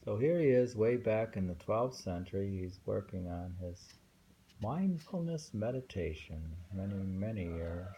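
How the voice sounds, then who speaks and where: A man reading poems aloud and commenting casually in a slow, musing way, with thoughtful hums. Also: on the low side, lively intonation, warm tone, muffled recording, slight background noise. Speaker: reader of translated poetry.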